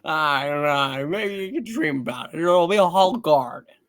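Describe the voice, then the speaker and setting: A frustrated, weary family dad in a comic radio play, put on by a man. He has a bright, forceful voice with lively pitch and an exasperated, hammy delivery. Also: moderate pace. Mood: tender, soothing. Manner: hammed-up, exasperated, stumbling reading. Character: frustrated family father, sharp-tempered, weary, loving. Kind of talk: live comedic radio play reading.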